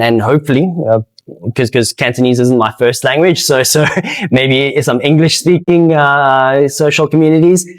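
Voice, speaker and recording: A man with a South African accent giving casual, unscripted interview answers in a hesitant, halting way, often repeating words. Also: medium pitch. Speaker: interviewee, amateur speedsoft player and organiser.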